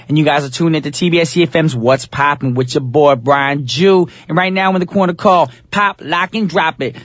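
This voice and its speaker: A young man hosts a radio show with a slightly husky voice. He talks in a relaxed, playful way, dropping a casual "y'all" now and then, close on a studio mic. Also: on the high side. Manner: easygoing, playful, says y'all.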